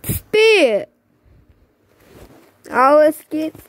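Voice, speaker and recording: A woman giving excited, exclaiming commentary while playing a mobile bowling game. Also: high-pitched, drawn-out words, no room sound. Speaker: gamer.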